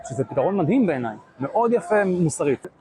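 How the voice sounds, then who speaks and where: A man from Israel, a street activist, arguing rapidly and animatedly with passers-by. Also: a little high, sing-song, dark tone, a little hiss or hum. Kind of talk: street conversation.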